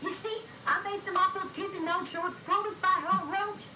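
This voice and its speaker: Woman as earnest young animator, high-pitched, echoey. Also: fairly even intonation, normal speed, recorded from a distance. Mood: eager. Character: young male animator, earnest, confident, pleading. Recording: echoey, noisy reel-to-reel tape.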